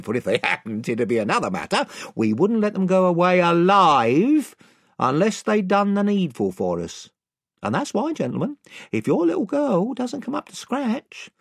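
A man's voice: high-pitched, vocal fry, slightly rough, dry recording, studio quality, voice pushed up, exaggerated voice. A man voicing a bumbling, long-winded male village chief who is invisible, talking in a folksy, rambling way. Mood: blandly menacing. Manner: rambling, folksy, comically polite threats. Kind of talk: audiobook reading.

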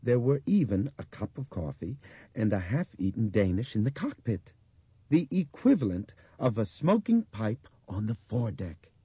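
A man voicing an excitable older intellectual who reasons aloud in an emphatic, lecturing manner for an audiobook. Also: fairly low pitch, moderate pace, breathy edge, no echo, muffled recording, voice pushed up, heavily acted. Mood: approving.

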